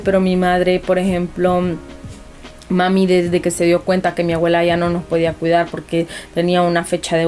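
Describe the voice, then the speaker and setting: A woman's voice: fairly low pitch, darkish tone. A young woman, speaking earnestly as an interview guest and often restarting her sentences. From Honduras. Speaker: anonymous guest. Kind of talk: personal interview.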